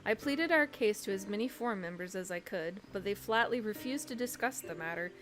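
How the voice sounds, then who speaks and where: A woman voicing a formal, earnest young fantasy scholar, reading game dialogue in a measured way. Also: mid-range voice, expressive, close to an everyday voice. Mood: troubled.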